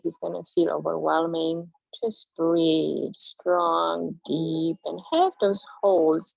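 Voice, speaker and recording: A woman leads a yoga class with slow, soothing, drawn-out cues, her voice carrying a husky, gravelly edge. She speaks unhurriedly, and the recording is dry and close. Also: on the low side, a little mellow, no room sound, low-quality recording. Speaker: yoga instructor. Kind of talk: guided yoga class instruction.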